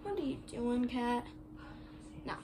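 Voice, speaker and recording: Young girl vlogger, high voice, rambling chat full of fillers, phone camera in a bedroom with noisy background hiss. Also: lively intonation, slow. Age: 11, child. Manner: rambling, chatty, many "like" fillers. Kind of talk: casual vlog chat. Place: bedroom, indoors. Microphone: phone camera on desk. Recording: noisy room ambience.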